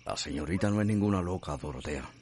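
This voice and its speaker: An actor playing a gentle, fearful, resigned working man with a Cuban accent in a radio soap opera. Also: sits low, expressive, fast talker. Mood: subdued worry.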